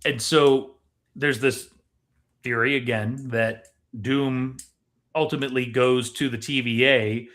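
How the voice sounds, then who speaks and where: A man's voice: mid-range voice, steady tone, slow pace, a bit bright. A man co-hosting a movie discussion show, talking casually and explaining his points to his co-host. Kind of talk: casual film theory discussion.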